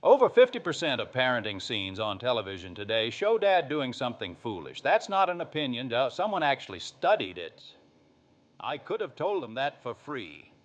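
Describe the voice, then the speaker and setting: A man's voice: mid-range voice, big pitch swings, normal speed, lo-fi sound, natural voice. A man playing a folksy, grumbling 1950s sitcom father who narrates in a dry, wry, plain-spoken way. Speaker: show host. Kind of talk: scripted narration.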